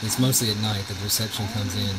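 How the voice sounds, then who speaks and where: A middle-aged man giving a casual hands-on demonstration in a halting, hesitant way, recorded on a handheld camera. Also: lowish voice, steady tone, heavy background noise. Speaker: homestead vlogger. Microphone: handheld camera, speaker behind it.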